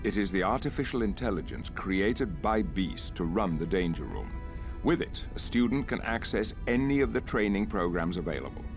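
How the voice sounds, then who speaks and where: Calm, authoritative older male mentor, low-voiced and formal, game cutscene with music underneath. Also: normal speed. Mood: instructive. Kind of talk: video game cutscene acting. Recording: music behind the voice.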